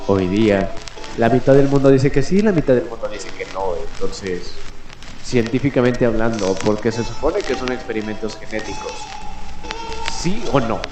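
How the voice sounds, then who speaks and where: A man hosting a radio show in character as a 1940s commentator, delivering a halting, reflective monologue full of rhetorical questions. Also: medium pitch, animated. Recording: echoey voice over clear background music. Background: music.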